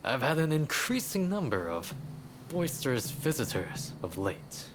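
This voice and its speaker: Slow, expressive wry samurai scholar. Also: male voice, medium pitch, over music. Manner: measured, articulate, wry. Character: reclusive samurai medical researcher, wry, courteous, unwarlike. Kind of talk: dramatic video game acting.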